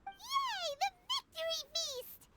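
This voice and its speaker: A woman voicing a tiny, excitable, food-loving fairy girl with a squeaky childlike voice in a game. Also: takes it slowly, loud music behind the voice, full character voice. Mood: eager.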